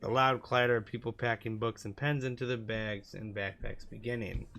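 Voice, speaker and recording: A man's voice: mid-range voice, flat delivery, moderate pace, bright, forceful tone. A man reading a visual novel aloud as a gaming YouTuber, sometimes stumbling and rereading phrases. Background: music from the game.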